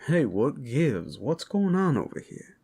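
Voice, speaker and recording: A man's voice: mid-range voice, sing-song, a little slow, vocal fry, warm tone, slightly rough, full character voice. A man playing an easygoing werewolf companion in a fantasy audio drama, with a casual, conversational delivery. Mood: placating.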